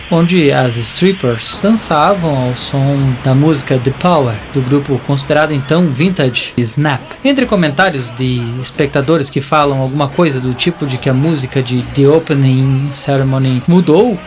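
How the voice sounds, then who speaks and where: A man's voice: medium pitch, normal speed, few pauses, soft background music. A Brazilian man narrating a scripted audio drama in a theatrical way, stretching out some phrases.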